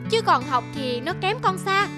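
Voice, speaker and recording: A woman's voice: very high voice, expressive, moderate pace, music in the background. A woman voicing a boastful, competitive little schoolgirl in a cartoon. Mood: resentful. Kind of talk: cartoon dialogue.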